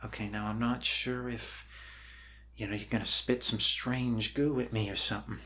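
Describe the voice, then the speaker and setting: A man doing an improvised, soft-spoken ASMR roleplay as an awkward, reassuring doctor, rambling hesitantly and apologetically. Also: medium pitch, level tone, moderate pace. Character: awkward, unconventional, reassuring doctor welcoming an alien. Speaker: ASMR performer playing a doctor. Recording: clear background noise.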